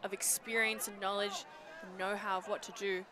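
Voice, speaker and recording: A woman's voice: medium pitch, flat delivery, moderate pace, bright, forceful tone. A woman giving live colour commentary on a sports match as co-commentator, explaining the play in an emphatic way. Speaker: co-commentator, analyst. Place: outdoors beside a sports field. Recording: outdoor crowd and traffic noise. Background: crowd and outdoor ambience.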